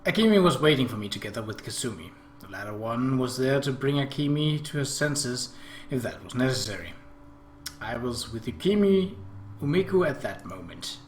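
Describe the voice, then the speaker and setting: A man's voice: mid-range voice, lively intonation, moderate pace. A man hosting a let's play, reading a visual novel aloud and adding his own commentary. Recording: roomy echo, faint background noise.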